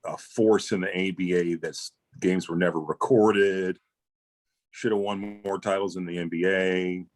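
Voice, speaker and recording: American man, very low voice, dry recording, emphatic casual co-host. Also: steady tone, unhurried, no echo. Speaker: show co-host. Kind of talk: casual chat, enthusiastic sports fan talk.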